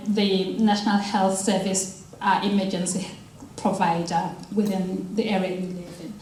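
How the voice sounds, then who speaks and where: A woman's voice: mid-range voice, darkish tone, slight background noise. A woman from Zimbabwe, giving a chatty community presentation and joking with the audience. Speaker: ambulance service equality lead presenting. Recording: echoey hall, voice from far off.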